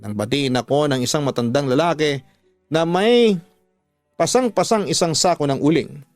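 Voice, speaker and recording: A man's voice: a little high, moderate pace, no room sound. Dry-voiced male storyteller over music. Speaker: radio drama narrator. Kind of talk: first-person dramatic story narration.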